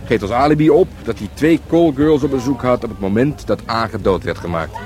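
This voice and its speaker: Actor as a wry, laid-back police sergeant. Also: male voice, mid-range voice, fairly quick, a little mellow, slight background noise, close to an everyday voice. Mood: amused, teasing. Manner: wry, teasing. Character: laid-back, teasing male police sergeant undercover as market trader. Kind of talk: radio play acting.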